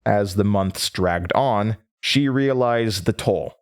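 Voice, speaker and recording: A man's voice: fairly low pitch, takes it slowly. An actor playing a nervous, earnest male researcher, narrating horror audio drama in a hesitant, stammering, confiding way. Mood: uneasy, reflective. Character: nervous, earnest male horror-radio researcher recounting his case notes.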